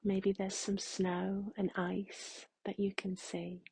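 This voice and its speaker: A woman leading a guided meditation in a soft, hushed, breathy voice, gently and with long unhurried pauses. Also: mid-range voice, completely flat delivery, no room sound.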